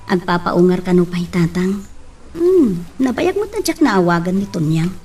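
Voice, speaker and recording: A concerned, lively daughter played by an actress in a radio drama. Her voice is lowish and swings widely in pitch, slightly distant with faint noise behind. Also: moderate pace.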